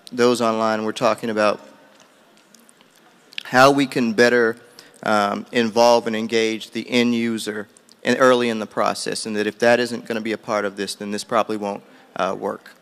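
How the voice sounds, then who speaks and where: Lowish-voiced man summing up discussion. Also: little pitch movement, normal speed, fairly bright tone, clear, resonant tone. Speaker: roundtable participant reporting back. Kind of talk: informal summary of discussion points.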